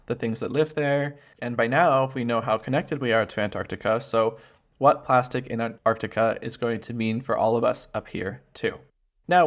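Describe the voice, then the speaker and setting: A man hosts a podcast, first reading a prepared introduction and then putting questions to his guest. He speaks clearly and earnestly, with a friendly manner. His voice has an average pitch for a man. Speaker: podcast host. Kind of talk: scripted intro and interview questions.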